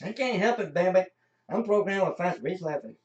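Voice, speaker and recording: A man's voice: high-pitched, animated, moderate pace, distant mic, dull audio. Man doing a swooping, slangy robot crewmate. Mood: excited, slangy. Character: laid-back, trigger-happy robot crewmate with fast reflexes. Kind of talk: live radio play acting. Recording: off the mic, faint noise.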